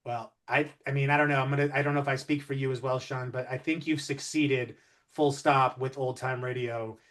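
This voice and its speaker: A man's voice: medium pitch, fairly even intonation, brisk, fairly bright tone. A man hosting an interview in an enthusiastic, conversational style. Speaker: interview host.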